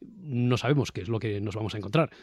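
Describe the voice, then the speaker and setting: A man's voice: lowish voice, fast, a little mellow, breathy edge, no room sound, clean studio sound. A man with an accent from Spain, presenting a panel discussion as podcast host.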